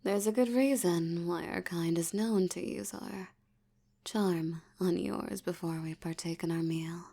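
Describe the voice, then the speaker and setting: A woman voicing a dominant, aristocratic vampire elder in an intimate audio roleplay, teasing and menacing, with a breathy voice that often drops toward a whisper. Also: fairly low pitch, dark tone. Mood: seductive, threatening. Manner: slow, teasing, menacing, with lingering pauses.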